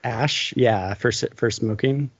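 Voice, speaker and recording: Deadpan prank caller guy, dry muffled audio. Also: medium pitch, a little slow, slightly rough, dry recording.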